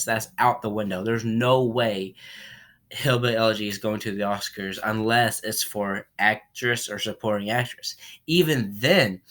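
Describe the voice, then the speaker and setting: Man, movie reviewer, under the weather, voice a bit low, rambling, clears throat often. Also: fairly bright tone. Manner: rambling, repeats himself, frequent throat clearing. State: voice off from a cold. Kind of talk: casual spoken film review.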